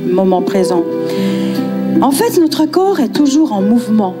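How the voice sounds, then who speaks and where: A woman's voice: a little high, sing-song, a little slow. A woman from Switzerland giving a passionate, emphatic sermon, repeating words for effect. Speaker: guest preacher. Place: church conference hall. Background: guitar and piano music.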